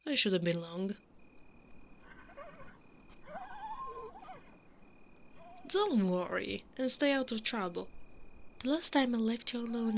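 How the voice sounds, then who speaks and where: A woman playing a brave, sardonic female knight in an ASMR roleplay, breathy and often whispering, muttering dryly to herself. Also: medium pitch, a little hiss or hum, natural voice. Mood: urgent, fond. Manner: muttering to herself, dry and sarcastic.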